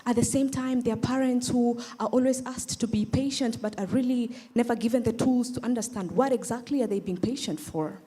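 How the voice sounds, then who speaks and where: A young woman with a soft-edged, mellow voice and a Tanzanian accent delivers a prepared stage speech. She is earnest and emphatic, setting out her phrases deliberately and leaning on repetition. Her tone stays warm and rounded as she addresses a formal gathering. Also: on the high side, breathy edge. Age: young adult. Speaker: pageant contestant.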